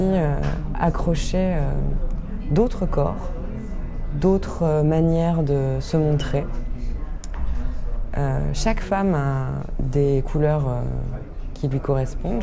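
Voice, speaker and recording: French woman artist, low voice, slow halting delivery, handheld camera in a crowded event. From France. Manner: hesitant, many filler sounds, thoughtful pauses. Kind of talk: casual self-presentation to camera. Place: cultural centre during a New Year event. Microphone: handheld camera at arm's length. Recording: crowd noise around the camera. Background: crowd chatter.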